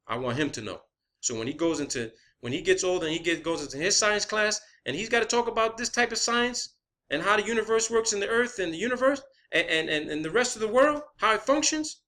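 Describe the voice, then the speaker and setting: Bright, high-pitched man, ranting and profane. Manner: profane, emphatic, combative; repeats phrases for emphasis. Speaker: video creator. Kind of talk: impassioned rant to online viewers.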